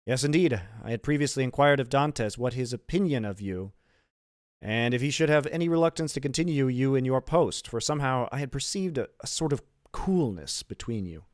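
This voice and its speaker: A man's voice: medium pitch, animated, brisk, dry recording, studio quality, voice pushed up, close to an everyday voice. A man voicing a hearty, earnest shipowner in an audiobook, courteous and formally worded. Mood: warm, businesslike.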